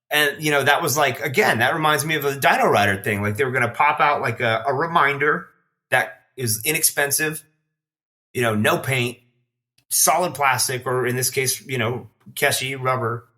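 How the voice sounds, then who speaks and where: A man's voice: medium pitch, normal speed, sharp tone. A man in a casual podcast chat, talking in a rambling, slangy, enthusiastic way as a collector guest.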